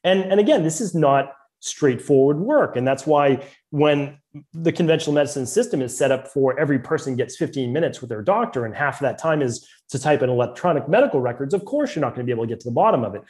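A middle-aged man with a Boston accent talks through medical ideas at length, earnest and thorough, often restarting a phrase to get it right. His voice is mellow and dark, sitting at an ordinary pitch for a man. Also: lively intonation, fast. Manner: earnest, detailed, fluent medical explaining with frequent restarts. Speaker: naturopathic doctor, podcast guest. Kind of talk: explanatory interview talk.

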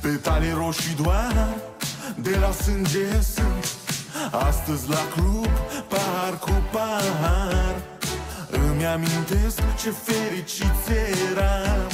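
Male pop singer, melodic emotive vocal, loud backing music. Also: high voice, slow. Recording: sung over loud pop backing track.

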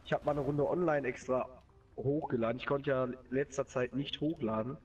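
A man's voice: mid-range voice, fairly even intonation, normal speed, darkish tone, slightly rough, far from the mic, muffled recording. A young man with a cold, chatting casually and using slang while he plays a racing game. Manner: chatty, slangy, laughs easily. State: has a cold. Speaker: channel host (Nico). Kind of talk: casual gameplay commentary.

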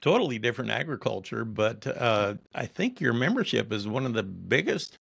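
A man's voice: mid-range voice, big pitch swings, normal speed, vocal fry, a bit bright, slightly rough, no echo, studio quality. A man in his sixties with an American accent, conducting a conversational interview as the host. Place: small indoor office or studio.

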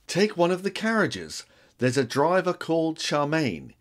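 A brisk, self-assured spin doctor in a radio comedy who barks out orders. A man plays him with a lively voice that swings up and down. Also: on the high side. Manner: brisk, commanding. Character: bossy, overconfident PR man.

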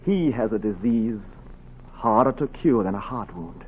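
An actor playing a dignified, composed young doctor in a 1940s radio drama, speaking in a measured, articulate, polite way. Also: male voice, fairly low pitch, animated. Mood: quietly bitter. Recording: narrow-band, faintly noisy 1940s radio recording.

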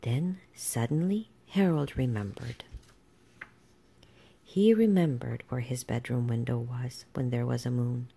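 Low, slow, creaky woman reading a storybook. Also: mellow. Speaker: storybook reader. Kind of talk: read-aloud children's storybook narration.

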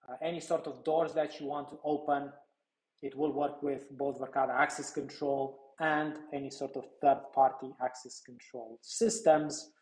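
A man in his thirties giving a product demo walkthrough in a measured, explanatory manner. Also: on the high side, darkish tone. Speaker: product presenter. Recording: echoey room sound.

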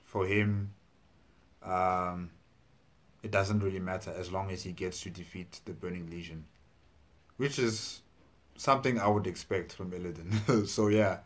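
A man in his thirties with a very low voice reacts as a video host. His commentary is casual and halting, as he thinks aloud in a small room on a clip-on mic. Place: plain small indoor room. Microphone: clip-on lapel mic.

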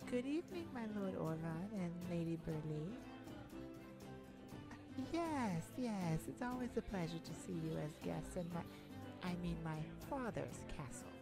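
A woman's voice: on the low side, very animated, music in the background. A woman playing a weary, proud and guarded young half-blood princess in a scripted roleplay audio drama, speaking in formal, wistful phrases. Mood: politely formal. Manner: formal, measured, wistful storybook speech.

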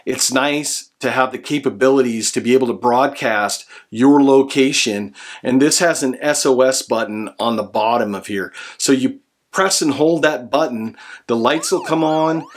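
A man's voice: mid-range voice, normal speed. American man presenting a gear review. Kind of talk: explanatory product review to camera. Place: small room indoors at home.

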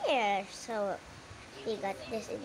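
A young woman casually commenting while playing a game, soft and hesitant, with many fillers. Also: medium pitch, steady tone, slow, a crowd in the background, low-quality recording. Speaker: gamer. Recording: loud background noise.

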